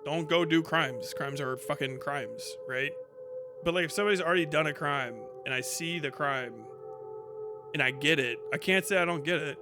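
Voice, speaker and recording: A man livestreaming casual, profane commentary and reacting to chat, animated and sarcastic as he slips into imagined quotes. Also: on the high side, moderate pace, music in the background. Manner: profane, slangy. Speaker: live streamer.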